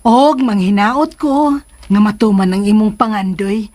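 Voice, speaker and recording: An actress playing a hopeful, supportive mother of a grown son in a Filipino radio drama, encouraging and measured, with a Filipino accent. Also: medium pitch, darkish tone, natural voice.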